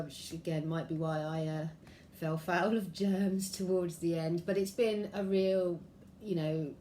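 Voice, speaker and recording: Croaky woman with a cold. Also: lowish voice, a little hiss or hum. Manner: chatty, warm-hearted, many ums and throat clearings. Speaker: angel card reader. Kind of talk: casual spiritual vlog talk. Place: room at home by a window.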